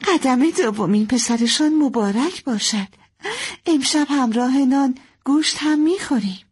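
Casting: woman playing gentle storybook mother, raised pitch, lively melody, caring and expressive. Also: husky, full character voice. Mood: warm, pleased. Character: caring, gentle mother in an old Arabian household.

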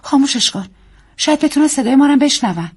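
Woman doing an excitable young housewife, high and urgent. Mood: alarmed. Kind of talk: audiobook story reading.

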